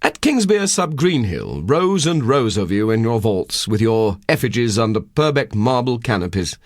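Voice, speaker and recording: An actor voicing an elderly, learned country parson in a literary audiobook, precise, measured and dryly condescending. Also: male voice, medium pitch, sing-song, no echo, clean studio sound, pitched above the performer's own voice, close to an everyday voice. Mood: dry, dismissive.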